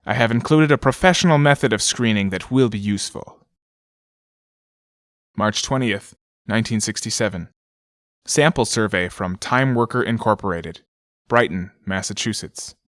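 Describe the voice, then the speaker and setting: A man's voice: fairly low pitch, moderate pace, fairly bright tone, dry recording, clean studio sound. A man narrating an audio drama, reading letters and documents in a scripted style.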